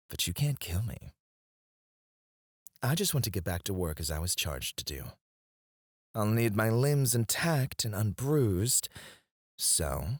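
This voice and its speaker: Cocky, low-voiced male fantasy alchemist, acted by a man. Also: darkish tone, no echo, clean studio sound. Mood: taunting, daring. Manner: teasing, sardonic, goading with mock politeness. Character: cocky, flirty male royal alchemist taunting his rival. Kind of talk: intimate ASMR fantasy roleplay acting.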